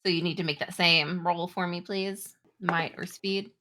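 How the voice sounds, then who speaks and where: A woman game master improvising narration and rules in a halting, conversational way. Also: on the low side, steady tone, pushed and bright. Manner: halting, conversational, pieced-together descriptions. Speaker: game master of a tabletop roleplaying game.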